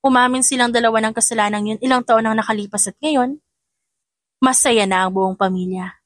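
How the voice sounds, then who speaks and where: A woman's voice: a little high, brisk, no echo, studio quality. A woman with a Filipino accent reading a romantic story as scripted, dramatic audio narration.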